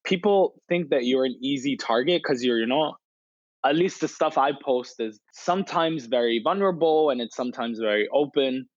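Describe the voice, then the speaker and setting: A man's voice: medium pitch, moderate pace, dry recording, muffled recording. A man in his twenties, a guest on a podcast, talking openly and reflectively with many "you know" fillers. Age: 20s. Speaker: podcast guest, poet and influencer. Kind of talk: casual interview chat.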